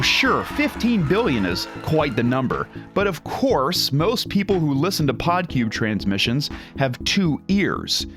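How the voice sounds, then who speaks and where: Man playing a chirpy, high-pitched corporate spokesman. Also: a bit bright. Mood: chirpy, impressed. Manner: chirpy, earnest, over-enthusiastic. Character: upbeat, awkwardly cheerful corporate community outreach man. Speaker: company spokesperson hosting customer testimonials. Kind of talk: scripted comic corporate podcast announcement.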